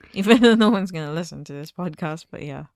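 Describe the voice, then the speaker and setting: A woman co-hosting a casual podcast, giggling often, cautious and prone to trailing off into quiet. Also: on the low side, expressive, brisk, no room sound, clean studio sound. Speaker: podcast co-host. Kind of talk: casual chat.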